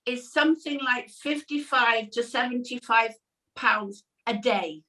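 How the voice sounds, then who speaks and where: A woman's voice: fairly high pitch, unhurried, bright, forceful tone. A middle-aged British woman chatting casually and telling anecdotes as a co-host on an online panel show. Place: at home indoors on a video call. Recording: slightly distant video-call mic.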